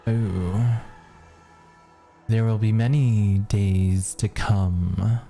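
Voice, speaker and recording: A game streamer giving expressive, exclamatory live reactions and reading lines back. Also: deep voice, extremely slow. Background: game music and dialogue.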